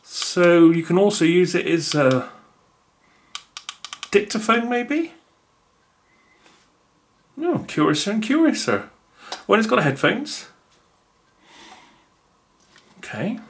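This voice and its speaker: A man's voice: high voice, very animated, slow pace, long pauses, a little hiss or hum. A man, thinking aloud with many fillers as he unboxes a gadget at a table indoors. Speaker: unboxing video host. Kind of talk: casual unboxing commentary.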